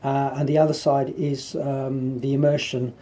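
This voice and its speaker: A man, an English teacher, chatting casually in a radio studio interview, relaxed and lively when he makes a point. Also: medium pitch, normal speed. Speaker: English teacher and school founder. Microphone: studio microphone.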